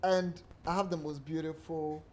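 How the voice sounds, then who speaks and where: A man's voice: on the high side, steady tone, moderate pace. A Ghanaian man hosting a podcast, interviewing a guest with playful, teasing banter. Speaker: podcast host. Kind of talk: casual interview chat.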